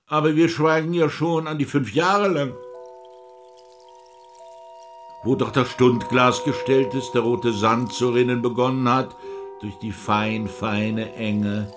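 An actor playing a mocking, verbose devil in a radio play, with theatrical, wheedling delivery and pompous old-fashioned phrasing. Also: male voice, mid-range voice, steady tone, a little slow, over music, natural voice. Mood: mocking, sly. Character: mocking, verbose devil, a tempter selling time. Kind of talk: German radio play acting.

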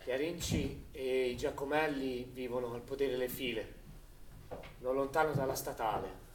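A man's voice: mid-range voice, fairly even intonation, moderate pace. A man narrating a scripted war drama as a stage actor, in a dramatic storytelling style. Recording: echoey, noisy, distant stage recording.

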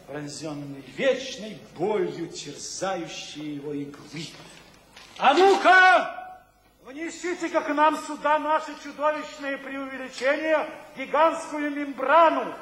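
An actor playing a fanatical revolutionary theatre director in a radio drama, declaiming theatrically in exclamations. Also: male voice, very high-pitched, fairly bright tone, heavily acted. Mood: solemn, intense. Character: fiery avant-garde theatre director, a fanatical revolutionary man. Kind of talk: stage-style radio drama acting. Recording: echoey hall reverb, faint noise.

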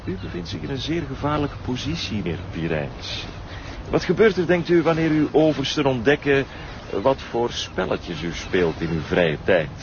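An actor playing an unruffled, formally polite and mocking criminal go-between in a TV crime drama. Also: male voice, mid-range voice, very animated, moderate pace. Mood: taunting. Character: smooth-talking, unruffled male go-between for a criminal. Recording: dull lo-fi outdoor scene, clear noise.

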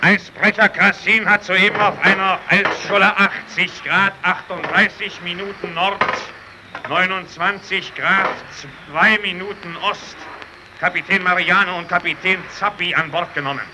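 Terse, commanding sea captain, measured and slow, for a radio play with heavy background noise. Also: male voice, sits high, fairly even intonation. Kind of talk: German radio play acting. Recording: noisy old radio drama recording.

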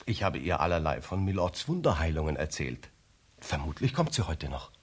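An actor playing a sly, deferential manservant in a radio drama, with a conspiratorial, theatrical air. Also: male voice, extremely low pitch, big pitch swings, moderate pace. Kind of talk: German radio drama acting. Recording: noisy vintage radio recording.

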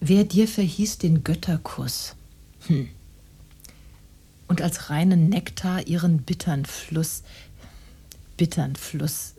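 An actress playing a sceptical, critical woman with a dry manner and husky voice in a German radio drama. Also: sits low, slow pace, long pauses, mellow, heavily acted.

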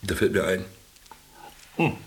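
Sardonic ex-businessman, animated and dry. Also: deep voice, a little slow. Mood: joke-telling. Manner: dry, ironic, theatrically lecturing. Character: sardonic former businessman stranded in a camp, teasing his companion. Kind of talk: German radio play dialogue.

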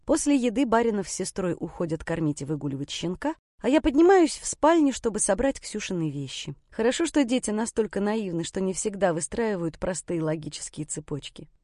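A woman's voice: mid-range voice, big pitch swings, brisk, few pauses, warm tone, dry recording, clean studio sound. A woman reading a scripted first-person story as an audiobook narrator.

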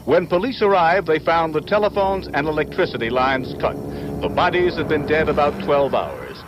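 A man's voice: a little high, sing-song, moderate pace, background noise. A man delivering a news report as a reporter.

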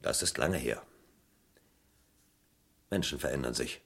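An actor playing a suspicious, methodical police detective in a German crime radio play, speaking deliberately and with pointed emphasis. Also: male voice, extremely low pitch, fairly bright tone, slightly rough, exaggerated voice. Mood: pressing, stern. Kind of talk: German crime radio play acting, mid to late 20th century.

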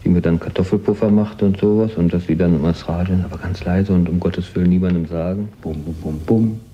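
A man reminiscing casually as an interviewee, halting as he recalls and voicing a drum-like motif. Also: low-pitched, fairly even intonation, breathy edge. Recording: dull, muffled recording.

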